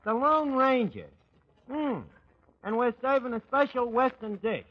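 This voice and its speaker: An actor playing a slangy, wisecracking New York tavern manager in a 1940s radio comedy. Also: male voice, very high voice, expressive, unhurried, full character voice. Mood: chatty, wry. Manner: slangy, ungrammatical, sardonic. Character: wisecracking, malaprop-prone male manager of a tavern. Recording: muffled 1940s radio broadcast.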